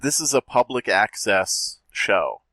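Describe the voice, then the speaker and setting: A man's voice: fairly low pitch, sing-song, slow, pushed and bright, no echo. A man co-hosting a podcast who reads parts of a story and adds wry, laughing commentary. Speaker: podcast co-host. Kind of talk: casual chat and story reading.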